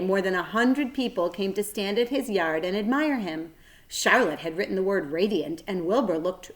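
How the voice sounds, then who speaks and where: Expressive woman reading a children's story. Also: mid-range voice. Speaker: teacher reading aloud. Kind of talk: children's story read-aloud narration.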